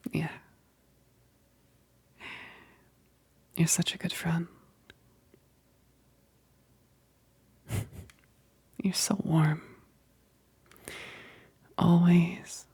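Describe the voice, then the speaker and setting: A woman's voice: on the low side, mellow, no echo, exaggerated voice. A woman playing an affectionate, self-conscious vampire best friend in an intimate ASMR roleplay, whispering hesitantly and tenderly, close to the mic. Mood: affectionate, hungry. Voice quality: breathy, whispery, creaky. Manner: slow, hesitant, halting, tender. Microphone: close, dry microphone.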